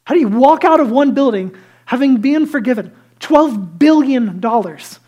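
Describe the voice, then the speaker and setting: Male pastor, high light voice, lively conversational sermon, hushed asides. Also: moderate pace, husky, highs cut off. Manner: lively storytelling that drops to hushed, near-whispered asides; self-deprecating and conversational.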